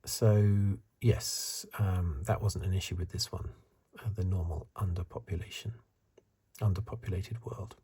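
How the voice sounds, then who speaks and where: Breathy, low-voiced man, soft ASMR. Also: steady tone, unhurried. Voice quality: breathy, husky. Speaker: ASMR reviewer. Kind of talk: soft-spoken whispered ASMR commentary.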